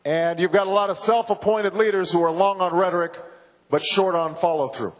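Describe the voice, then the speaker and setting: A man in his forties giving a campaign speech, slow and halting with frequent pauses and repeated words. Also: high-pitched, slight background noise. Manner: halting, measured, with many uhs and repeated words. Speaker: political candidate. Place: large university hall. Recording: reverberant hall from a PA.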